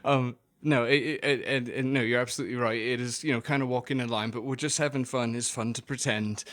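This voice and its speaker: Joking male podcast co-host, mid-pitched voice. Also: fairly even intonation, fairly quick, a bit bright. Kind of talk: casual gaming podcast banter.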